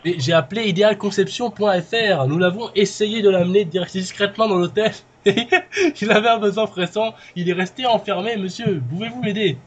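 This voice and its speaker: A man's voice: high-pitched, moderate pace, dull audio. A man playing a flustered footballer's manager in an improvised prank call, cheeky and mock indignant. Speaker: prank caller.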